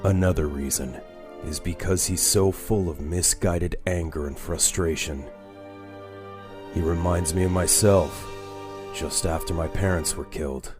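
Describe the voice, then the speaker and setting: An actor playing a brooding, guarded older masked crime-fighter in a comic dub, with a grave, measured delivery. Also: male voice, deep voice, music in the background. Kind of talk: comic dub dramatic acting.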